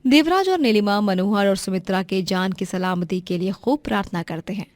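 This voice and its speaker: A woman's voice: mid-range voice, moderate pace, darkish tone, dry recording, studio quality. A woman narrating a scripted moral story as its storyteller.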